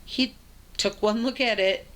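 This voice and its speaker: A young adult woman with a raspy low voice, telling her own story in a casual vlog, plain and matter-of-fact with many ums and uhs. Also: a little slow. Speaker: vlogger.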